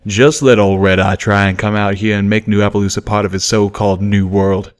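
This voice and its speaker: A man voicing a gruff, boastful buck pony in an audiobook, blustering and folksy. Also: fairly low pitch, level tone, brisk, close to an everyday voice. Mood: defiant.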